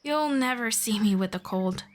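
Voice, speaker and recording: A woman playing a calm, patient elf friend. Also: fairly high pitch, a little hiss or hum, natural voice. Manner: soft, unhurried, politely formal. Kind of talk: ASMR roleplay.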